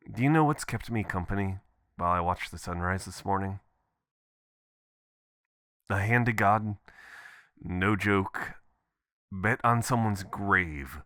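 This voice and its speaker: An affectionate, adventurous boyfriend, played by a man, leaves an intimate voicemail in a deep voice with a bright, crisp edge. He talks casually and playfully, taking his time. The sound is close and dry, in the manner of ASMR roleplay audio. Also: no room sound, exaggerated voice. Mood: amused, affectionate. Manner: casual, expressive, chuckling, swearing. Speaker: audio performer.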